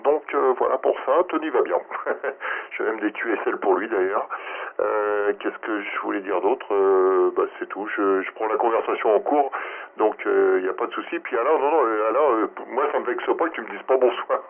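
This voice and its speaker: A man's voice: fairly low pitch, normal speed, gravelly. A man chatting informally and jokingly as an amateur operator over a narrow radio link. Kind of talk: casual radio chat.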